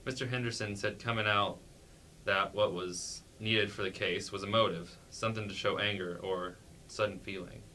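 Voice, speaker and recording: A man's voice: lowish voice, steady tone, normal speed, bright, forceful tone. A man playing a timid, dutiful sheriff's wife in an amateur staged play reading, with hesitant, deferential delivery. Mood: hesitant, earnest. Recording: faint background noise.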